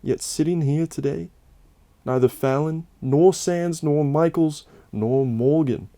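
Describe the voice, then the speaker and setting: A man with a soft, mellow voice delivers a scripted, satirical tale. His delivery is solemn and theatrical, leaning on key phrases. The sound is dry, with very little room in it. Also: fairly high pitch, slow, no room sound. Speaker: host and narrator of a Halloween special. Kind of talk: scripted dramatic narration with satirical asides.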